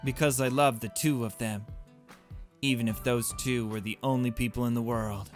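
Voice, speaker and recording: A man voicing a deranged, devoted young narrator from a horror visual novel, reading slowly and intimately. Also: mid-range voice, fairly even intonation, faint music behind, lightly acted. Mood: devoted, intense. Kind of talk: slow dramatic reading of game text.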